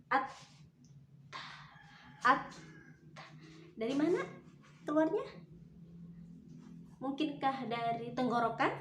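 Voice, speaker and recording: Woman teacher, slow demonstrations, echoey. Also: a little high, a little hiss or hum. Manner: patient, repeats sounds slowly to demonstrate. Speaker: Quran teacher. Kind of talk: recorded lesson for children. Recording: echoey room with faint ambience.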